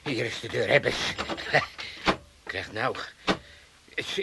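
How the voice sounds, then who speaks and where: Creaky, grumbling old man. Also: medium pitch, slow pace, heavy background noise. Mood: aggrieved. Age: elderly. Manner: moaning, muttering complaints and oaths. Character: grumbling, self-pitying old Amsterdam father, locked away. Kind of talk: comic radio play acting.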